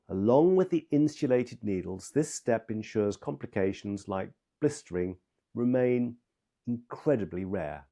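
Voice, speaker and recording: Mellow-voiced man giving measured instruction. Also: medium pitch. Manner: emphatic, measured, step-by-step delivery. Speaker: medical practitioner and trainer. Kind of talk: scripted instructional narration.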